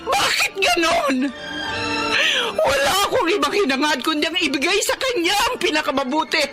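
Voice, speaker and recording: A woman's voice: very high-pitched, big pitch swings, moderate pace, over music. An actress playing a strict, anxious Filipino mother in a radio drama, pleading and insistent. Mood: anguished, crying. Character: anxious, strict, self-sacrificing mother of a grown daughter.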